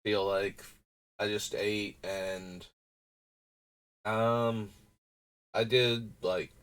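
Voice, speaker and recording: A man's voice: on the low side, little pitch movement, drawn-out words, fairly bright tone, clean tone, low-quality recording. A man with a nasal, stuffy voice from a runny nose, thinking aloud in a rambling, casual way while livestreaming. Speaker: streamer building a card game deck. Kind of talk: casual live-stream talk.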